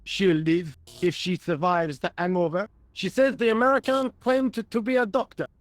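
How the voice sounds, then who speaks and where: A man puts on a very high-pitched French policeman for a video game, recorded close and dry. He is an earnest, literal-minded sidekick with a French accent, always deferential to his superior. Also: steady tone, normal speed, no echo, natural voice. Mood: dutiful, earnest. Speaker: police sergeant, assistant.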